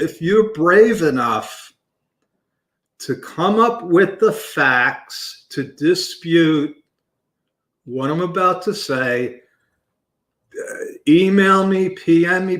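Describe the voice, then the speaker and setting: A man hosting a business show, talking loudly and passionately with emphatic restarts. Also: on the high side, echoey room. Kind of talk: unscripted talk to camera.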